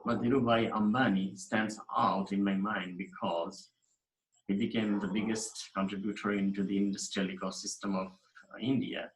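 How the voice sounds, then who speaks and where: A man from Nepal delivers a recorded lecture over his slides in a fairly low voice. He talks in an earnest, emphatic way, often restarting and repeating phrases. The room around him is echoey. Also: flat delivery, normal speed. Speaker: university lecturer.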